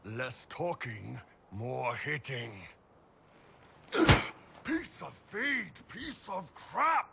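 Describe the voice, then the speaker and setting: An actor playing a burly horned giant warrior in a video game, gruff, blunt and hearty, swearing forcefully. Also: male voice, a little high, very animated, drawn-out words, noisy background, full character voice. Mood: straining, fierce. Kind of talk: video game cutscene acting.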